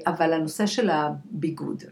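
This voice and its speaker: An Israeli woman speaking as an expert guest in a conversational podcast interview, halting and repeating words for emphasis as she searches for phrasing. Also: deep voice, vocal fry, darkish tone. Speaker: guest expert, clinical dietitian. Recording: echoey room.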